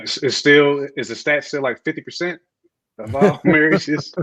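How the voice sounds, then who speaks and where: A man's voice: a little high, moderate pace, crowd noise. A man co-hosting a casual hip hop podcast, joking in slang and circling back over his phrases for emphasis. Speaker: podcast co-host. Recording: other voices clearly behind.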